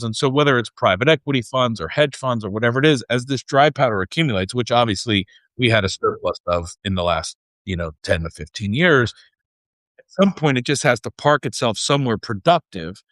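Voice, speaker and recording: A man with a mid-range voice talks like a podcast host working through an idea out loud. His phrasing rambles a little and lands emphatically on key words, recorded dry and close. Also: medium pitch, animated, fairly quick, dry recording. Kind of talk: conversational explaining.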